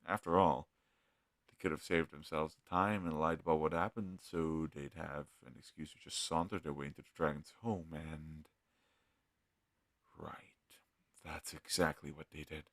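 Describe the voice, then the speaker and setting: A man playing an earnest, gentle healer mage in a fantasy audio roleplay, talking hesitantly and thoughtfully to a travel companion. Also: very deep voice, fairly even intonation, normal speed, no echo, studio quality. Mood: puzzled, reasoning. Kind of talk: scripted fantasy audio roleplay addressed to the listener.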